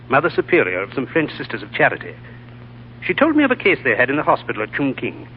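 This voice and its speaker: A man acting as a genteel writer who speaks crisply and properly. His pitch swings lively across lines, and the sound is thin and phone-like, as on an old radio broadcast. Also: a little hiss or hum, lightly acted. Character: brisk English writer, genteel, solicitous. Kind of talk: 1930s radio drama acting.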